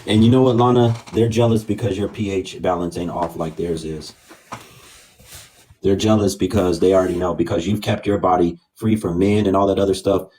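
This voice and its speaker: A man's voice: low voice, fairly even intonation, fairly quick, warm tone, a little hiss or hum. An American man hosting a livestream debate, talking in a mocking, goading manner. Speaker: livestream host. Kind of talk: bantering livestream debate talk.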